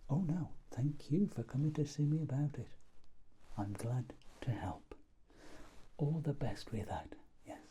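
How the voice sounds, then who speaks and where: A man performing an ASMR doctor roleplay in a soft, breathy whisper and low murmur, gently and politely. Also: expressive, a little slow, slight background noise.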